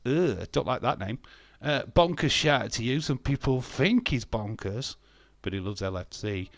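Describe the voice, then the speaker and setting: A man in his fifties chats loosely at middle pitch, reading viewer comments and firing back blunt, sarcastic answers. He swears without a second thought. His voice sounds close and dry, with no room around it. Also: animated, normal speed, no room sound. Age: 50s. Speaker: live stream host. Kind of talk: casual chat reading live comments.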